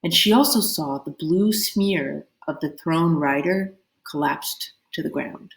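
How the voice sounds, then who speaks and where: Female read-aloud host, slightly low voice, expressive and dramatic narration of children's fiction, roomy sound. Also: unhurried, creaky. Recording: roomy, echoing indoor sound.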